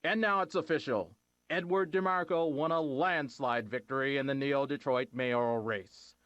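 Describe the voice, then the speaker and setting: An actor playing a male TV news anchor with a smooth newsreader delivery. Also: medium pitch, moderate pace, fairly bright tone, dry recording, dull audio, lightly acted. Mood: newsreading.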